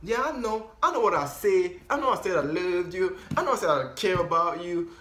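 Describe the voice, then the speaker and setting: Young man doing a loud, defiant boyfriend breaking up by phone, high-pitched, echoey room. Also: expressive, a little fast, a little hiss or hum. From Cameroon. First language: Bafang. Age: young adult. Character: brash young man dumping his girlfriend by phone. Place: small room indoors. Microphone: camera at desk distance.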